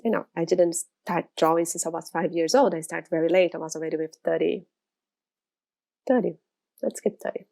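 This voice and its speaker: A woman's voice: fairly low pitch, creaky, warm tone. A Brazilian woman in her thirties, speaking English as a second language, chatting casually to camera from her studio, rambling with frequent restarts. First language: Portuguese. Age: 30s. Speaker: illustrator vlogger.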